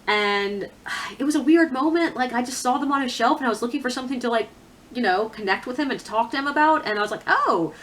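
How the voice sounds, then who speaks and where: A woman's voice: a little high, lively intonation, fast, a bit bright. A woman giving a casual, chatty monologue as a book reviewer, opinionated and conversational, with self-deprecating asides.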